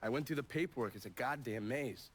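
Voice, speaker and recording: An actor playing a world-weary, wisecracking government agent in a video game, with an American accent and a dry, profane delivery. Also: male voice, medium pitch, fast talker, slightly rough, close to an everyday voice. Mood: briefing, dry. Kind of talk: dramatic video game cutscene acting.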